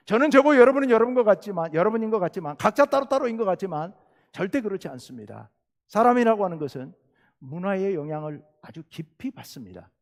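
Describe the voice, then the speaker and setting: A middle-aged pastor with a Jeolla Korean accent preaches to his congregation, his fairly high voice swinging widely as he asks questions and presses his points. The sound carries the long reverb of a church sanctuary. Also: big pitch swings, fast. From South Jeolla, Korea. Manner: earnest preaching, rhetorical questions, rising emphasis. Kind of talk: sermon.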